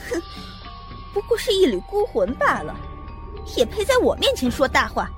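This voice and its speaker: A woman's voice: high-pitched, wildly swooping pitch, moderate pace, a bit bright, soft background music, exaggerated voice. A woman playing a haughty, scheming, jealous villainess in a Chinese costume audio drama, with theatrical delivery.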